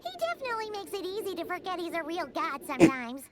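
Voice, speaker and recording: A woman voicing a tiny, childlike, excitable and blunt fairy companion with a squeaky voice in an anime video game. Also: fast, a little hiss or hum, heavily acted. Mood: resigned.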